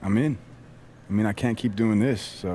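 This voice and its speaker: A man's voice: on the low side, normal speed, dark tone, slight background noise, close to an everyday voice. A man playing an easygoing, driven young pro basketball player in a video game, with an American accent and casual slangy talk. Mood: agreeable. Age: young adult. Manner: casual, slangy, laid-back. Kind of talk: naturalistic video game cutscene acting.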